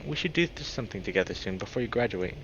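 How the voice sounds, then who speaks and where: A man's voice: on the low side, animated, rapid-fire, a bit bright, full character voice. A man voicing a confident young male wolf in a visual novel, casual, fond and teasing. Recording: dull lo-fi mic with clear background noise.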